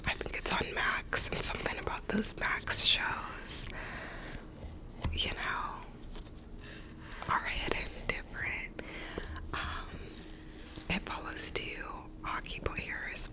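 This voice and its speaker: A woman's voice: takes it slowly, pauses often, low-quality recording. A young woman whispering an ASMR ramble, recovering from a cold, chatty and full of "like" and "um". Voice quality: soft unvoiced whisper. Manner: rambling, lots of "like" and "um" fillers. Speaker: ASMR creator.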